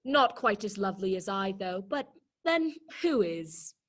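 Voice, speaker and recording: A woman's voice: medium pitch, very animated, measured pace. A woman playing a vain, disdainful talking flower in a children's readers' theater performance. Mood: smug. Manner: disdainful, superior. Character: vain, snooty talking garden flower, female.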